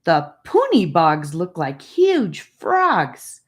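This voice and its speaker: A woman voicing a boastful, teasing young boy in an audiobook, speaking in an animated way. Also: lowish voice, extremely slow, heavily acted. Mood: excited. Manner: animated, bragging. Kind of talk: audiobook character voice.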